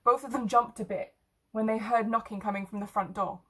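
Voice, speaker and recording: A woman's voice: a little high, fairly even intonation, brisk, pushed and bright. A woman narrating a short story as a scripted fiction reading.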